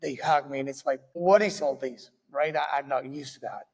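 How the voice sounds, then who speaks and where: A man from Argentina with an Argentine Spanish accent, hosting a podcast interview in a friendly, halting way with small restarts; Spanish is his first language. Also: medium pitch, husky, long reverb tail. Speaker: podcast host. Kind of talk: casual interview.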